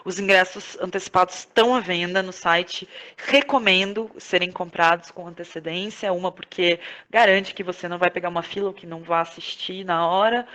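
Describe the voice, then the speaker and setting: A woman's voice: fairly low pitch, fairly even intonation, a little fast, a bit bright. A woman with a Brazilian Portuguese accent chatting informally and effusively as an interview guest. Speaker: podcast guest, playwright, actress and director. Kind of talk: casual interview conversation.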